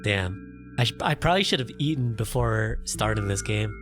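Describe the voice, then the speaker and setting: A man's voice: on the low side, animated, moderate pace. A man doing a let's play, reading a visual novel's text aloud dramatically and breaking off for playful, casual asides. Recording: loud music behind the voice. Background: game music and sound effects.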